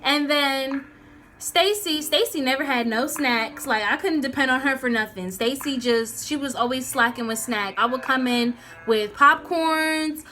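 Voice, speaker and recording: Very high-pitched young woman, sassy storyteller. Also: measured pace. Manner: animated, sassy, dramatic emphasis, slangy. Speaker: storytelling vlogger. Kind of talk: casual comedic storytime with acted-out dialogue. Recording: music playing behind the voice. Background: clear background music.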